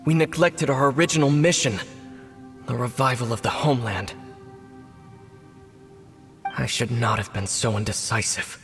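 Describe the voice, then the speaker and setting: An actor playing a resolute young royal leader of a dark order in a video game, formal and grave. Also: male voice, mid-range voice, fairly even intonation, normal speed, pauses often, close to an everyday voice. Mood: solemn, resolute. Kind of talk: video game cutscene voice acting. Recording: reverberant hall sound, faint music. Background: faint music.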